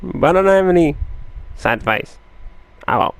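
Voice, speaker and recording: A man's voice: on the high side, expressive, a little slow, no room sound. A man doing let's play commentary, mumbling asides and reading on-screen text aloud. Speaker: gamer.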